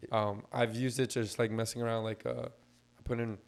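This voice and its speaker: A man co-hosting a laid-back podcast talks in a fairly level, even tone with little rise and fall. His voice is a bit hoarse and scratchy, like it's only just coming back. He explains things loosely, with plenty of fillers along the way. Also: mid-range voice, normal speed. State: hoarse, recovering lost voice. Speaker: podcast co-host. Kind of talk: casual podcast chat.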